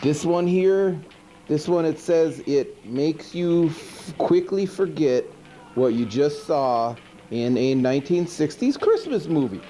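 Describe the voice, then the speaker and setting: A man's voice: on the high side, lively intonation, takes it slowly, warm tone. A man playing a goofy, chatty, sardonic monster who hosts a horror movie show, recapping films in casual, rambling slang on a noisy 1980s VHS recording. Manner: casual, rambling, mock-indignant slangy delivery. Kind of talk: comic movie recap monologue.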